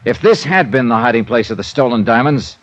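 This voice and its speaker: A man's voice: medium pitch, lively intonation, brisk, no room sound, close to an everyday voice. An actor playing a cool, tough American investigator, narrating his case in clipped, dramatic 1950s radio drama style. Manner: clipped, dramatic first-person storytelling. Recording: dull old radio recording, loud music.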